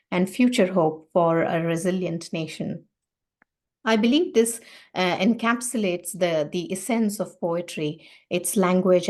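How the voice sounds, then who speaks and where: A woman's voice: lowish voice, darkish tone. A woman hosting a poetry night who introduces a featured poet in an earnest way, with many filler words. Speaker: event host and poet.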